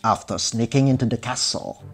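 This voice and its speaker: A man narrating a scripted children's fairy tale in a measured, expressive storybook style. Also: mid-range voice, slightly rough. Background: music.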